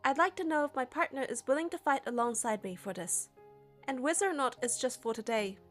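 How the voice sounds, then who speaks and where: Female narrator, high voice, scripted game story reading with music underneath. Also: animated, brisk, a bit bright. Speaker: narrator and reader of a game playthrough. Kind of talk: scripted story narration with dialogue read aloud. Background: background music.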